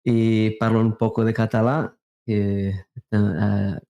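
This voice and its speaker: A man in his thirties, a native Portuguese speaker with a non-native accent, chatting haltingly as an interview guest and searching for words. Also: fairly low pitch, level tone, warm tone, lo-fi sound. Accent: non-native, Portuguese-influenced Spanish. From Brazil, raised in England from thirteen. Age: 30s. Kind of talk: casual interview chat.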